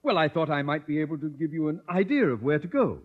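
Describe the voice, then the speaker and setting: An actor playing a pompous, wordy, blustering middle-aged school principal in a 1950s radio comedy. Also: male voice, a little high, lively intonation, quick pace. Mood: pompous, ingratiating. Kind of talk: 1950s radio sitcom acting. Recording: dull, noisy vintage radio recording.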